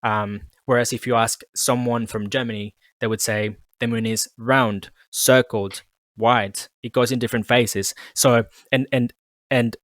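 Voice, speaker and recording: A man's voice: medium pitch, fairly even intonation, unhurried, fairly bright tone, no echo. A man from Colombia whose first language is Spanish, co-hosting a podcast in casual chat, rambling with many restarts and repeated words. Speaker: podcast co-host.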